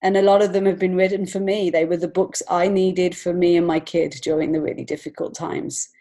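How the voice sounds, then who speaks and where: A woman's voice: fairly low pitch, little pitch movement, fairly quick, dark tone. A woman speaking as an interview guest in an earnest, reflective and encouraging way. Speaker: podcast guest, children's author and illustrator. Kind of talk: conversational interview answers.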